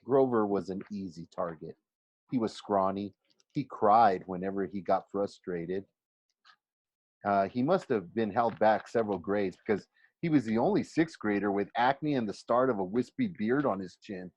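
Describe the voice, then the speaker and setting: A man reads a first-person story aloud in an expressive, conversational way, with dramatic emphasis and pauses. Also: fairly low pitch. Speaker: teacher reading a novel aloud for a class. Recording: roomy, slightly dull home recording.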